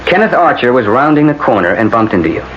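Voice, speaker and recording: Man playing a blunt, animated, world-weary lawyer. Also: medium pitch, fast, lightly acted. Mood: stern. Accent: American. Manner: blunt, sardonic, authoritative. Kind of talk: 1980s radio drama acting. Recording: muffled, noisy old radio broadcast.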